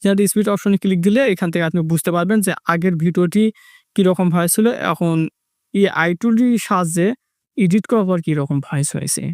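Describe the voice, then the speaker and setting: A man with a high voice walks viewers through a tutorial step by step. His delivery is fast and repetitive in its instructions, and the recording is dry and close. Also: no echo. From Bangladesh. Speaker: tech tutorial host. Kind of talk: step-by-step screen tutorial narration.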